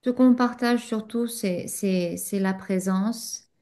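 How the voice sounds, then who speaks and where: A woman spiritual teacher, whose first language is not French, answers a student in a satsang, reflective and pausing often with fillers. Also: mid-range voice, dark tone.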